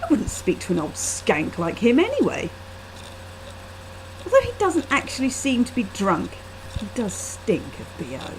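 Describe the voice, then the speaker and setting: A woman's voice: fairly high pitch, wildly swooping pitch, unhurried, a little hiss or hum, low-quality recording. A woman reading her own first-person short story aloud into a laptop webcam microphone.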